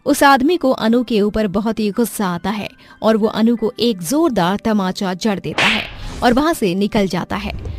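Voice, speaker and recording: A woman narrator reading a scripted dramatic story for listeners. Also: mid-range voice. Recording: music behind the voice.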